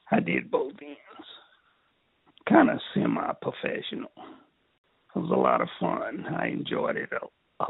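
An older man from Texas with a raspy, strained voice, telling his life story in an interview in a halting way, repeating words. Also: fairly high pitch, no room sound. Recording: tinny phone line.

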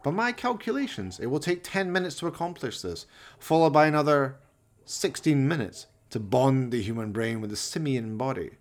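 A man playing an evil, excitable mad scientist in a radio play, pompous, theatrical and fawning toward his master. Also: medium pitch, moderate pace, pitched above the performer's own voice, natural voice. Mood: gleeful, excited. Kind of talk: radio play villain acting.

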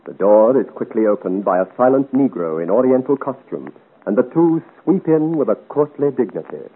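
A man's voice: mid-range voice, very animated, moderate pace, breathy edge. A man reading scripted narration for a 1950s radio adventure serial. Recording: noisy, phone-like old radio recording.

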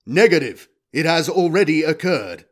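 A man's voice: a little high, animated, normal speed, voice pushed up. A man voicing a cold, logical war robot in an audiobook, with clipped, formal machine phrasing. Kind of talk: audiobook character voice.

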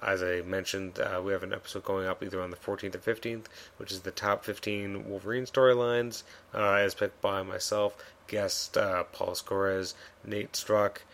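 Male podcast host, low gravelly voice a bit worn, loose rambling delivery. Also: flat delivery, moderate pace, fairly bright tone. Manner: rambling, many fillers and restarts. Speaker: podcast host reviewing comics. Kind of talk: casual solo podcast review.

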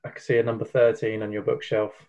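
A man's voice: fairly low pitch, little pitch movement, fast, creaky, darkish tone. A man interviewing a guest over a video call, asking questions. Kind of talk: conversational interview. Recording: dull lo-fi audio.

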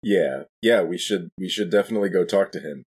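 A man's voice: low voice, a little fast, a little mellow, slightly rough. A man playing in a tabletop roleplaying podcast, talking casually with the other players. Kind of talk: casual in-game chat.

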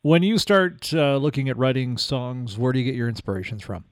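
A man's voice: medium pitch, brisk, no echo, clean studio sound. A man interviewing a guest in a conversational style, as a podcast host.

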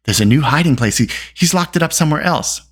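A narrator doing an earnest teenage boy, animated. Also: medium pitch, quick pace, pitched above the performer's own voice. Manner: anxious, earnest questioning. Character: earnest, impulsive teenage boy, assistant pig keeper.

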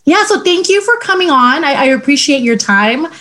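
A woman hosting an interview show, upbeat and chatty with quick affirmations, on a video call. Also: high-pitched, animated, a bit bright, a little hiss or hum. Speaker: podcast host. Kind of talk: casual interview.

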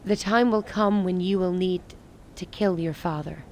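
Grave, low-voiced woman leader, played by an actress. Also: moderate pace, a little hiss or hum, close to an everyday voice. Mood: solemn, insistent. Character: grave, resolute woman leader of a besieged rebel city. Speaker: actress in a radio play. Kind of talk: dramatic radio play dialogue.

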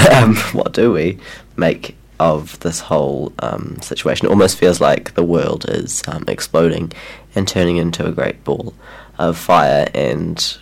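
Low gravelly New Zealand radio host. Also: male voice. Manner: chatty, many ums, laughs easily. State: recovering from a cold. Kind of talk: casual radio chat.

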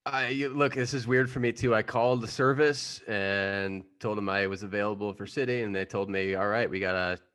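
A man with a fairly low voice improvises comedy with a podcast cast. His delivery is casual and a little halting. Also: steady tone, fairly bright tone.

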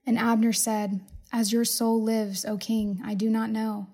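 A woman reading a Bible passage as scripted narration in a measured, solemn manner. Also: a little high, flat delivery, warm tone. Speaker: scripture reader.

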